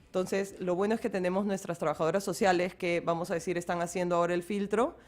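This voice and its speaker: A woman's voice: lowish voice, steady tone, fast. A woman who works on a free clinic project, explaining it fluently in a radio interview and mixing in English terms.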